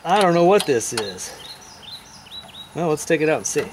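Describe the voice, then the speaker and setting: Middle-aged guy doing a diy grill fix out in the yard, chatty and folksy, slightly high voice, birds and hiss behind. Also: very animated, moderate pace. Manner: chatty, folksy, talks while working with hands. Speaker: DIY video host. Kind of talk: casual how-to demonstration. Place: outdoors in a yard. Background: birds and outdoor ambience.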